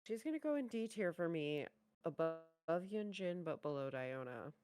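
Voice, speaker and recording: A woman's voice: on the low side, expressive, moderate pace, a little mellow, clean tone, faint music behind. A woman chatting casually and giving commentary as co-host of a reaction show.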